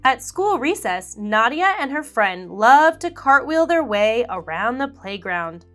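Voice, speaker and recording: A woman reads a picture book to young listeners, drawing out each phrase at an unhurried pace. Her pitch runs a little high and swings widely as she tells the story. Soft music plays faintly behind her. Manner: animated, slow and clear storytelling. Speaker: children's book reader. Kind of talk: expressive read-aloud for children.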